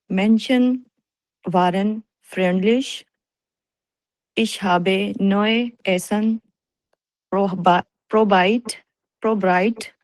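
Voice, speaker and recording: Very slow, halting woman from Pakistan. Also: medium pitch, a little mellow, dry recording, poor audio. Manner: halting, repeats words to correct herself. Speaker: language student. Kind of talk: beginner presentation in class.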